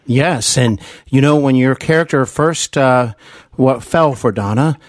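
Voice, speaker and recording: A man's voice: medium pitch, unhurried, dry recording, studio quality. A man hosting a conversational interview, admiring and meandering in how he talks. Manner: admiring, long-winded, meandering. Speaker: podcast host, interviewer.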